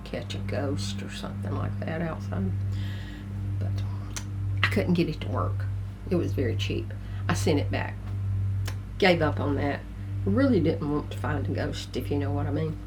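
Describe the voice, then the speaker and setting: Older woman, gruff and sniffly, lowish voice, rambling home vlog chat over a faint hum. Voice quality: gruff, congested. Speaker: vlogger. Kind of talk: casual chat to camera. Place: tiny house.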